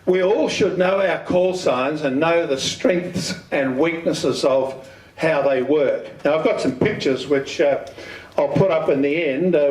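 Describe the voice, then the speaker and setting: An older man giving an instructional talk, deliberate and emphatic, putting questions to his listeners. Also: a little high. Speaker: speaker giving an amateur radio talk. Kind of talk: instructional lecture with questions to the audience. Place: community centre meeting room. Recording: echoey hall, distant microphone.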